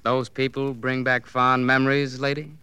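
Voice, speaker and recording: An actor playing a wry, needling police detective in an old radio crime drama, sarcastic and taunting. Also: male voice, medium pitch, level tone, normal speed, bright, forceful tone, clear, resonant tone, no echo, natural voice. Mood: mocking.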